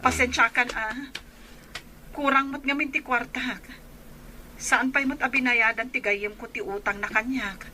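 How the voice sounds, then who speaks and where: High, bright actress as worried, caring big sister, radio drama melodrama. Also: female voice, steady tone, a little slow, slight background noise, poor audio. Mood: apologetic, subdued. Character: anxious, caring eldest daughter and sister. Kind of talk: Ilocano radio drama acting.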